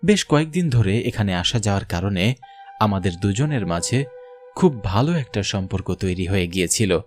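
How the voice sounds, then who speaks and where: A man's voice: on the low side, expressive, moderate pace, faint music behind. A man giving a scripted first-person narration of a horror story, as a government officer looking back. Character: haunted government officer recounting his mine visit.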